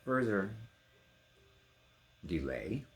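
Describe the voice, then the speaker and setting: Deep-voiced middle-aged man, very animated delivery. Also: extremely slow, faint music behind. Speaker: poetry reader and trained actor. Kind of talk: casual personal introduction to a reading.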